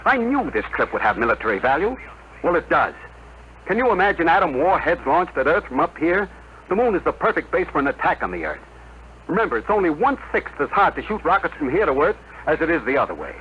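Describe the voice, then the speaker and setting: A man's voice: a little high, big pitch swings, quick pace. A man acting in a 1950s radio drama as an older, self-sacrificing general, emphatic and dramatic. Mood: impassioned pleading. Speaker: radio actor. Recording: muffled, noisy old radio broadcast.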